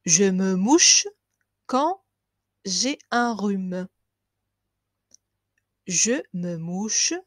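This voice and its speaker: A woman teaching a language lesson. She repeats each phrase slowly and clearly, then explains it quickly. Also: medium pitch, dry recording, clean studio sound. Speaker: French teacher.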